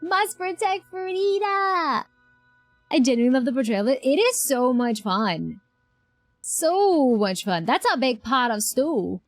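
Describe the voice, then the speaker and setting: High, animated woman streamer reacting. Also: a little slow, no room sound. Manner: expressive, exclamatory, informal. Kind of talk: casual live game reaction commentary. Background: game music.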